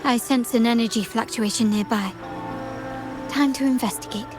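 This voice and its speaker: An actress voicing a composed, inquisitive young woman investigator in a video game, musing to herself in a measured way. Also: fairly high pitch, lightly acted. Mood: alert, focused. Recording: echoey voice under loud music. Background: loud game music.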